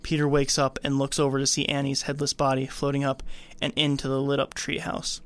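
A man's voice: mid-range voice, completely flat delivery, brisk, vocal fry, fairly bright tone. A man co-hosting a film podcast, moving between narrated scene readings and casual discussion. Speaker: podcast co-host. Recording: faint background room noise.

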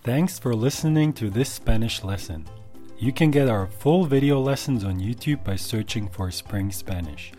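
A man reading a scripted sign-off for the show in an even, read-out manner. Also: medium pitch, measured pace, faint music behind. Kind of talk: scripted outro.

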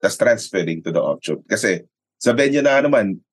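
A man's voice: lowish voice, lively intonation, brisk, husky, no room sound, studio quality. A Filipino man, a sports radio commentator, chatting casually in an interview, explaining things and often checking for agreement. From Philippines. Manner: explanatory, often seeks agreement with tag questions. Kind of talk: casual interview chat mixing Tagalog and English.

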